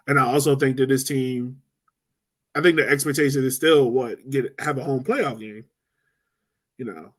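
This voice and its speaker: A man hosts a relaxed sports podcast with a mid-range voice. He talks in a halting way and often repeats words while he sorts out his thoughts. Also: mid-range voice. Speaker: podcast host. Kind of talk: casual sports chat.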